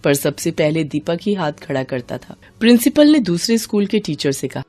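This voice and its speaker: थोड़े नीचे सुर वाली महिला कथावाचक. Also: female voice, fairly low pitch, big pitch swings, normal speed, darkish tone, faint music behind. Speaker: narrator. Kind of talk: scripted children's moral story narration.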